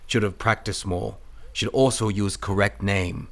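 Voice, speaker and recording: Guy doing calm wise chinese-american detective, low voice, polite clipped broken english, old radio mystery. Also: gravelly. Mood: calm, stern. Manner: clipped broken English, aphoristic, measured and formal.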